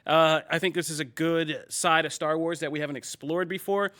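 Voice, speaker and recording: Bright, crisp-voiced male podcast host. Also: on the high side, normal speed. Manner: energetic, joking, lots of fillers like "like" and "I mean". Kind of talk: casual panel chat.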